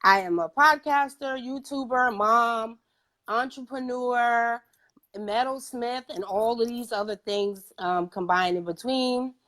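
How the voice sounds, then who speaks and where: A woman's voice: on the high side, takes it slowly, fairly bright tone. Chatty New York woman hosting. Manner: chatty, informal, talks in bursts. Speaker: podcast host. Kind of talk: casual interview talk.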